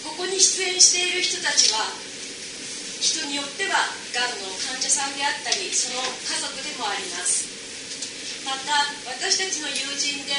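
A woman's voice: high voice, distant mic. A woman performer giving a formal, polite introduction from the stage, in an echoey, noisy live recording. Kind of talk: formal stage announcement to an audience. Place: performance at a hot-spring inn.

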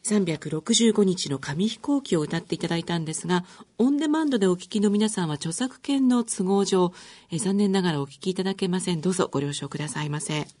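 A woman's voice: lowish voice, fast talker, hardly any pauses, dark tone. A woman hosting a radio programme, politely interviewing and presenting in a conversational way. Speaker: radio show host.

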